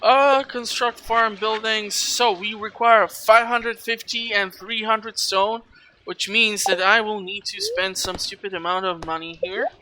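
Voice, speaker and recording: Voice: mid-range voice, fairly even intonation, unhurried, bright, forceful tone, a little hiss or hum, rough recording. A gaming video host giving casual let's play commentary, chatty and rambling while thinking aloud. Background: video game music.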